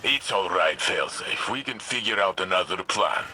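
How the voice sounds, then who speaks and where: A man playing a steady, supportive ally in a video game. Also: low-pitched, moderate pace, heavily acted. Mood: reassuring. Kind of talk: video game character acting. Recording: clearly noisy game ambience.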